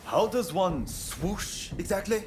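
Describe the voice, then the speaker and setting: An actor playing a stern, impatient rebel in a video game, earnest and blunt. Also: male voice, high voice, animated, slow, darkish tone, slight background noise. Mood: skeptical. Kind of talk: video game cutscene acting.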